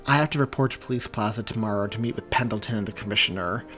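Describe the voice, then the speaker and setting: A man reading as a tired, apologetic middle-aged police officer and husband with a hoarse voice. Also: lowish voice, racing, sharp tone, faint music behind. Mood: weary.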